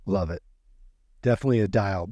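A man's voice: sits low, brisk, warm tone, no room sound. A man co-hosting an interview podcast in a casual, conversational style. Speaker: podcast co-host.

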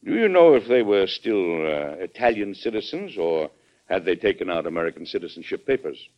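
An actor playing a firm, measured American president in a classic radio drama, speaking with formal, deliberate courtesy. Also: male voice, deep voice, big pitch swings. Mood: courteous, grave. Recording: dull old radio broadcast recording.

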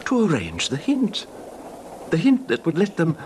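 An actor playing a meek, quietly vengeful husband confessing in a hesitant, genteel, understated way, in old-time radio drama style. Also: male voice, wildly swooping pitch, a little slow. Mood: coolly sinister. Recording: noisy vintage radio drama, rumbling background.

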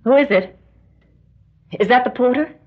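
A woman's voice: a little high, moderate pace, close to an everyday voice. An actress in an old radio drama playing a frightened, stammering, cornered woman who pleads breathlessly. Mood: startled, uneasy. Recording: muffled old radio recording.

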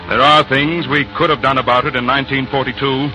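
An actor playing a grave, bitter narrator of an occupied homeland in a 1940s radio drama, speaking in a deliberate, rhetorical way. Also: male voice, medium pitch, level tone, bright, forceful tone, rough recording. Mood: solemn, bitter. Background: music.

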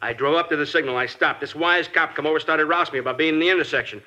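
A sneering young army deserter, sullen and wisecracking, in a vintage radio crime drama. His voice is high-ish and sharply bright, and his lines come quickly. The sound is dull and lo-fi, like an old radio broadcast.

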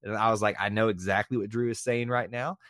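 A man's voice: fairly low pitch, steady tone, fast talker, a bit bright, no room sound, clean studio sound. A man hosting a casual sports podcast, talkative and easygoing, with fillers and repeated words. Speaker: podcast host.

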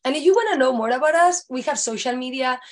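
A woman's voice: high-pitched, lively intonation, fast talker. Young Spanish-accented woman author. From Spain. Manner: cheerful, brief affirmations. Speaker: author guest.

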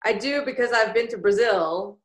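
A woman's voice: on the high side, moderate pace. A woman from Australia with an Australian accent, talking casually as a guest in an interview. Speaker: podcast guest, barbecue cook. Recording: roomy, echoing sound.

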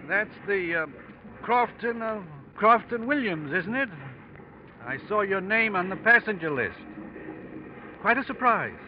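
On a muffled, faintly noisy vintage radio recording, a chatty mission doctor with a fairly high voice. He is genial and rambles politely, hesitating as if a little under the weather. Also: lively intonation, measured pace, pauses often. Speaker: actor in a radio drama. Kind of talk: old-time radio drama acting.

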